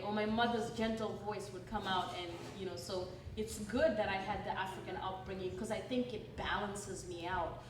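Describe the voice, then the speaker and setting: A woman from Malawi whose accent shifts between Malawian, American and British, telling stories from her life at a book event and acting out the voices she quotes. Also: mid-range voice. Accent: Malawian mixed with American and British. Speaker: author and speaker. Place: church hall. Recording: roomy hall, noisy, from a distance.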